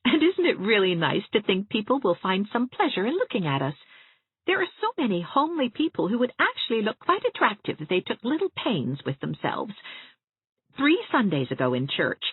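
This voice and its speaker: Woman doing a high, bright, bubbly young woman; husky edge, thin phone-like sound. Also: dry recording. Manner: bright, lively, persuasive. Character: cheerful, eager young woman, warm and coaxing.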